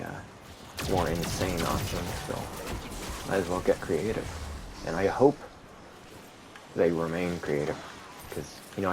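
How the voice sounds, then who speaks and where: A man giving rambling, monotone commentary while playing a video game, with many fillers. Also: sits low, vocal fry. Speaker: gaming video creator. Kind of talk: casual gameplay commentary. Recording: steady background noise.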